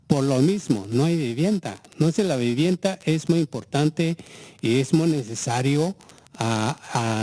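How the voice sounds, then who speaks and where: A man gives public comment at a formal meeting as a community organizer. His first language is Mixteco, and his voice sits at an average pitch. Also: mellow.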